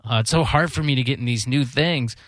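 A man's voice: mid-range voice, fast talker, fairly bright tone, no echo. Male talk radio host, mid-range voice, loose rambling conversational delivery, self-deprecating, very dry sound. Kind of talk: casual chat.

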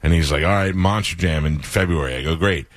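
A man's voice: low-pitched, brisk, dry recording. A man hosting a radio show, telling stories in a rambling, dry way with many fillers. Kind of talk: casual radio chat.

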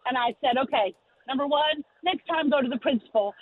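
A woman's voice: high-pitched, fairly quick, over a noisy crowd. A woman calling a radio show by phone, telling a personal story as a mother. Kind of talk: animated personal anecdote. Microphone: telephone. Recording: thin, tinny phone line.

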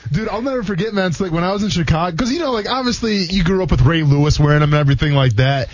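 Young ex-football guy on radio, talks fast, says dude and man a lot, slightly high voice. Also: expressive, dry recording. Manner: fast, laid-back storytelling with slang like dude and man. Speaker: radio co-host, former football player. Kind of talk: casual sports radio chat. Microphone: studio microphone. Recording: muffled radio studio mic.

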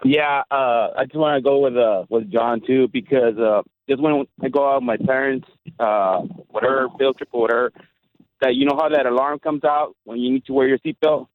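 A man's voice: mid-range voice, fairly even intonation, normal speed. A man phoning a radio show, chatting in a casual, hesitant way. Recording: tinny narrow phone line.

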